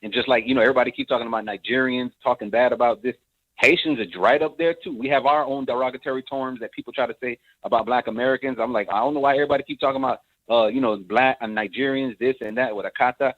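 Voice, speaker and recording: A man's voice: mid-range voice, fairly even intonation, quick pace, dry recording. Rambling male caller on a tinny phone line. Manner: rambling, full of "like". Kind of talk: phone-in talk.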